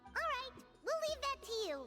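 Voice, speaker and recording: Tiny squeaky fairy sidekick, very high, wildly swooping, perky game character, music behind. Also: female voice, sing-song, moderate pace. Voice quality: squeaky, childlike. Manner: perky, exclamatory. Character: small chirpy childlike female fairy companion.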